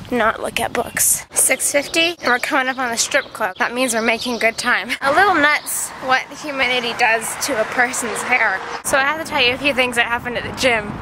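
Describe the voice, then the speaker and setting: A woman's voice: on the high side, low-quality recording. A woman with a congested, stuffy voice from a cold, chatting casually and animatedly into a handheld camera as she walks along city streets. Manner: chatty, animated storytelling with acted-out quotes. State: has a cold. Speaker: video creator. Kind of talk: casual rambling walk-and-talk vlog. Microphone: handheld camera held close while walking. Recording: outdoor street noise with passing traffic.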